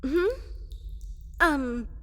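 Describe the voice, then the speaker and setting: A woman's voice: high-pitched, extremely slow, fairly bright tone, full character voice. A woman voicing a small, fluffy, eager monster girl in a fantasy comedy roleplay, stammering, apologetic and overly formal. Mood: earnest, nervous. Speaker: applicant for evil advisor post. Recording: reverberant large hall.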